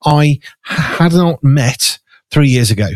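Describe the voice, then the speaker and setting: A man with a raspy, gravelly, hoarse voice, an animated radio host chatting effusively with many restarts. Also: fairly high pitch, takes it slowly, no echo, studio quality. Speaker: radio host and magazine editor. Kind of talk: casual conversation. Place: farmhouse.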